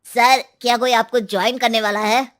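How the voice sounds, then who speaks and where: A woman's voice: a little high, fairly even intonation, normal speed, fairly bright tone, no room sound, close to an everyday voice. Kind restaurant waiter played by a woman, modest, wistful young man in a moral storybook. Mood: polite.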